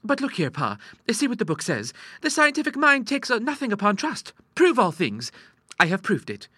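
A man's voice: high voice, wildly swooping pitch, moderate pace, bright, forceful tone, no echo, studio quality, voice pushed up, full character voice. A man voicing an eager, self-assured young daughter with a polite, airy manner in an audiobook. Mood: contented, eager. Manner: polite, airy, earnest, hesitating. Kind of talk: audiobook character voice.

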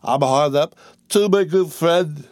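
A man's voice: on the high side, slow, darkish tone, no echo, clean studio sound, voice pushed up. A man doing a tipsy rich young swell. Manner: slurred, laughing, lurching speech. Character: drunk rich young man, about eighteen, merry.

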